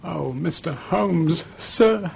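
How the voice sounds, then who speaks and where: High-pitched, cringing English working-class thief. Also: male voice, expressive, drawn-out words, creaky, a little mellow, heavily acted. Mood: shaky confessing. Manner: whining, stammering, servile. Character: cringing, frightened working-class Victorian hotel attendant thief. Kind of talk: British radio drama acting. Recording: muffled radio recording with background noise.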